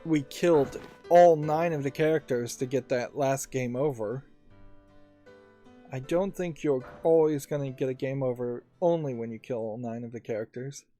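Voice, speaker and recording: A man hosting a let's play, giving unscripted gameplay commentary in a halting, thinking-aloud manner. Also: medium pitch, mellow. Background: faint game music.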